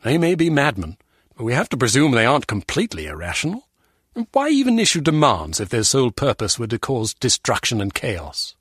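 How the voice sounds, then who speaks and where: Raspy, condescending intelligence officer. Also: male voice, medium pitch, huge pitch swings, fairly quick, fairly bright tone, no room sound, exaggerated voice. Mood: dry disdain. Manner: dry, clipped, formal, condescending. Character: condescending, stern male intelligence officer, dryly dismissive. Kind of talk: scripted spy video game dialogue.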